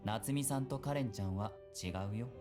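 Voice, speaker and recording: A man voicing an easygoing, gently teasing policeman and mentor in a visual novel. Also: mid-range voice, very fast, music in the background, natural voice. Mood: matter-of-fact. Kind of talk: Japanese visual novel voice acting.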